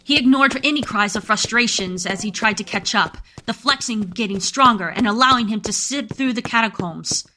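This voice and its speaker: A woman's voice: on the high side, moderate pace, few pauses, slight background noise, low-quality recording. A woman narrator reading a scripted dramatic story for a radio play. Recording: loud music under the voice. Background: loud music.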